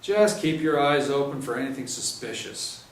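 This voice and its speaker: A man's voice: mid-range voice, expressive, normal speed, pitched above the performer's own voice, natural voice. A man voicing a stern, no-nonsense police sergeant giving orders with clipped authority, in an audiobook-style story reading. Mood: stern, commanding. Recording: roomy, distant mic, faint noise.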